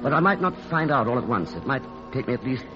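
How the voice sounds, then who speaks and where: An actor playing a charming, idle playboy artist in a vintage radio romance, speaking tenderly in a halting, self-deprecating way. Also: male voice, mid-range voice, very animated, close to an everyday voice. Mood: hesitant, earnest. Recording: dull old radio recording, music behind.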